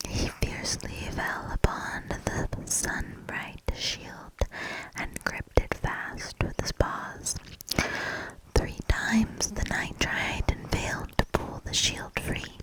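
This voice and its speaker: Slow, whispering woman reading a fairy tale. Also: low-quality recording. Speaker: ASMR reader, storyteller.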